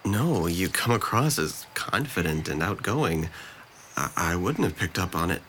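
A man acting a bitter, depressed young man in an audio drama. His voice is bright and sharp and swings widely in pitch, with sarcasm and defensiveness in the delivery. Faint noise sits behind him. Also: animated, measured pace. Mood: subdued, remorseful.

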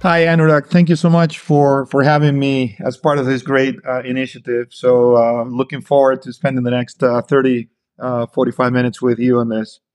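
A man's voice: medium pitch, little pitch movement, moderate pace, a little mellow, clean tone, faint music behind. A middle-aged man from Argentina, answering as a podcast guest in a hesitant way, full of fillers and restarts. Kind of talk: casual interview talk.

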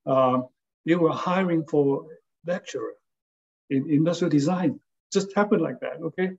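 An older man from Hong Kong, an architect recalling his career in a halting, casual way, often checking in with "okay?". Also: fairly high pitch, gravelly, dull audio. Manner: halting, many fillers and restarts, tags with "okay?". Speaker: interviewee, architect and former design lecturer. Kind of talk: casual spoken reminiscence.

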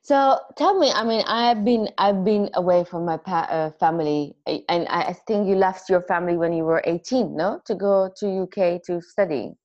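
A woman's voice: on the low side, normal speed, hardly any pauses, darkish tone, highs cut off. A woman hosting a podcast interview, chatty and encouraging. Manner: chatty, encouraging, with small affirming noises. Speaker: podcast host.

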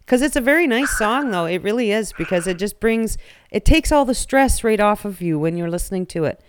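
A woman hosting a casual radio show, chatty and animated, laughing often. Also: medium pitch, a little fast, a little hiss or hum.

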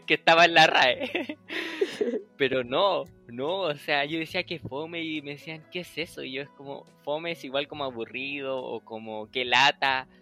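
Young man, from Chile, bright voice, casual chat, acts out dialogue, laughs often, faint music behind. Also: a little high, a little slow. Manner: chatty, acts out past conversations, laughs often. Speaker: podcast host.

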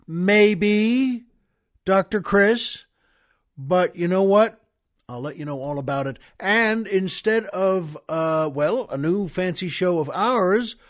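An American man hosting his show in a rambling, folksy, wry, informal way. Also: sits high. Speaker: show host. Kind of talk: rambling, informal podcast introduction.

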